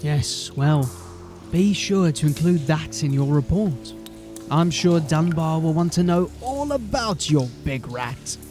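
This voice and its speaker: An actor playing a sardonic, coarse, bullying sewer rogue in a fantasy audio drama. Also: male voice, fairly high pitch, expressive, slow pace, darkish tone, faint music behind. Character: gruff, bullying, sarcastic watchful sewer-crawling rogue, male.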